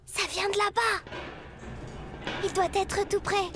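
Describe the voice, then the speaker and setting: Very high-pitched, headstrong schoolgirl. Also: fairly even intonation, takes it slowly, over loud music, heavily acted. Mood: indignant, urgent. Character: bossy, headstrong young schoolgirl. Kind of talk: French animated series acting.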